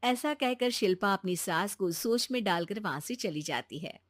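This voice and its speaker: A woman narrating a cartoon moral story in a calm, even storytelling manner. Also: medium pitch, moderate pace, no room sound, clean studio sound.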